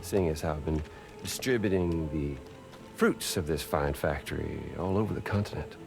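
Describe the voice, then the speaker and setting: An actor playing a taunting, theatrical arms-dealing villain with a gravelly, mocking drawl in a video game. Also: male voice, a bass voice, moderate pace, music in the background. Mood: mocking, grandiose. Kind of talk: video game cutscene acting.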